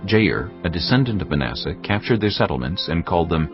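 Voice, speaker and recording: A man narrating scripture in a steady, measured storytelling voice. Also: deep voice. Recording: dull lo-fi recording with music behind.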